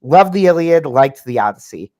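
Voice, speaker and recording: A man's voice: on the high side, expressive, normal speed, darkish tone. A man hosts a casual livestream conversation. He argues his views earnestly, with a wry, self-aware edge. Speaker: livestream host.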